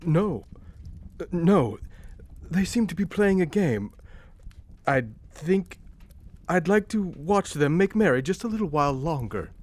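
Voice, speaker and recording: An actor playing an old miser who is softening, wistful and hesitant, in an audio drama. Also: male voice, on the high side, animated, unhurried, long pauses.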